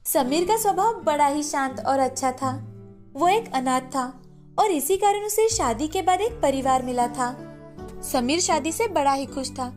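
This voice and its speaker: A woman's voice: high voice, lively intonation, measured pace, a little mellow, soft background music. A woman narrator reading a scripted moral story for children.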